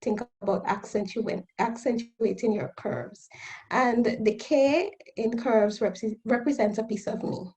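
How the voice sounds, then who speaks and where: A woman in her thirties from Guyana with a Guyanese accent, a guest on a radio interview explaining her new business in an earnest, steady way. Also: a little high, a little slow, rough recording. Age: 30s. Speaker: guest entrepreneur.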